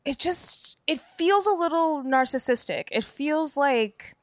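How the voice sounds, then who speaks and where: Woman comedian guest, nasal, high-pitched, dry close sound, animated chat. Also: slow, no echo. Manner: animated, slangy storytelling with sung and mimicked bits. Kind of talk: casual podcast chat.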